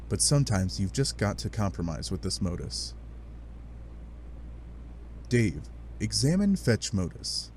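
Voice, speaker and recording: A man giving animated, sardonic narration of a comic adventure, with playful dramatic asides. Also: lowish voice, a little hiss or hum. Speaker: narrator of a fan dub read-along.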